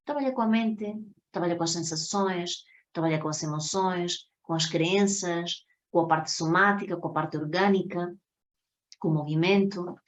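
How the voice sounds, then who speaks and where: A woman from Spain with a Spanish accent, teaching an introductory online workshop in a didactic way, often checking in with her listeners. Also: mid-range voice. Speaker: psychotherapy teacher.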